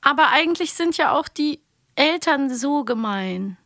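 A woman's voice: sits high, lively intonation, a little slow, no echo, studio quality. Chatty German woman podcast host. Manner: warm, enthusiastic, chatty. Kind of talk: casual chat about audio dramas.

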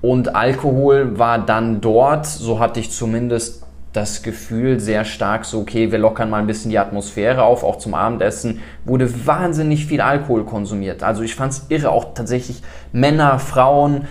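A man's voice: medium pitch, moderate pace. A man hosting a podcast, asking long conversational questions and sharing his own experiences with fillers. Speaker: podcast host. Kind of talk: interview questions, casual chat.

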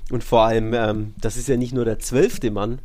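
A man's voice: mid-range voice, level tone, moderate pace, a little mellow. A man co-hosting a football podcast, giving opinionated analysis in a casual, colloquial and emphatic way.

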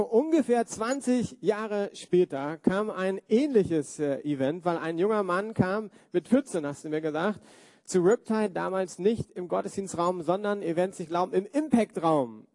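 A man's voice: sits high, lively intonation, normal speed, darkish tone, dry recording, clean studio sound. A man hosting a church interview, asking questions in an upbeat, encouraging way. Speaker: host.

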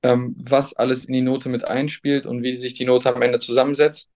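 A young man, a substitute teacher, answering interview questions in a hesitant, thoughtful way with many pauses and restarts. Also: medium pitch, flat delivery, darkish tone.

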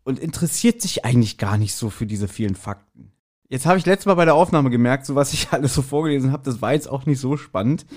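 A man's voice: mid-range voice, quick pace, a little mellow. A man co-hosting a podcast in speech, chatting casually with a jokey, rambling manner. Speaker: podcast co-host.

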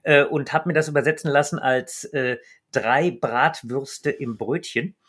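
A man's voice: medium pitch, measured pace, fairly bright tone. A man co-hosting a podcast, talking enthusiastically with frequent hesitation sounds. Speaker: podcast co-host. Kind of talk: podcast conversation.

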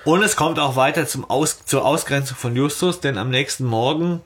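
A man's voice: mid-range voice, normal speed, a bit bright, slight background noise. A man talking casually and bluntly as a host on a fan podcast. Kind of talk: casual fan podcast chat about books and audio dramas.

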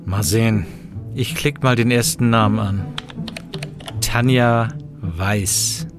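Man acting a slow-spoken, dry professor over music. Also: fairly low pitch. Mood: focused, dry. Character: dry, level-headed male professor in a sci-fi mystery. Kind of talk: German audio drama acting. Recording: music under the dialogue.

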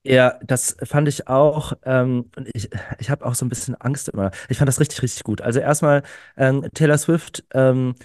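A man's voice: mid-range voice, fairly even intonation, normal speed, darkish tone, dry recording, studio quality. A man in his early thirties, an activist guest on a podcast, talking earnestly and with enthusiasm, with many fillers and repeated words. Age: 30s. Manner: earnest, enthusiastic, frequent "ähm" fillers and repetitions. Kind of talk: casual conversational interview.

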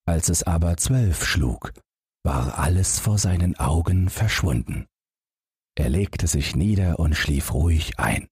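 Deep, husky male fairy-tale narrator. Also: expressive, takes it slowly, darkish tone, no room sound, studio quality. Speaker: audiobook narrator.

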